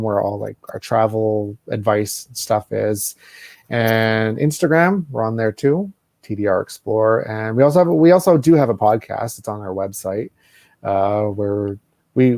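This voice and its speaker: A man's voice: fairly low pitch, darkish tone, no room sound, clean studio sound. A man from Canada, talking casually as a guest in a podcast interview. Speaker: podcast guest, travel website creator.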